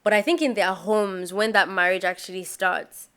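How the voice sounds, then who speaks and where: A young Nigerian woman chatting with friends on a podcast, her voice bright and crisp. She tells stories with emphatic, animated reactions. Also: mid-range voice, normal speed. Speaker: podcast co-host. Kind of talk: casual group chat.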